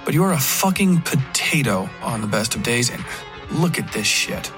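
A man voicing a menacing, contemptuous husband in a dark audio story, condescending, sneering and profane. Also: medium pitch, very animated, moderate pace, music in the background, natural voice. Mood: scornful. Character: menacing, contemptuous, abusive husband with political ambitions. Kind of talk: dramatic audiobook character dialogue.

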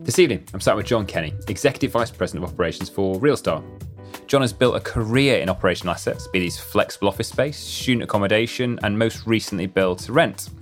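A man's voice: fairly low pitch, fast, soft background music. A man hosting an interview, introducing his guest in a formal, scripted way. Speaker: podcast host.

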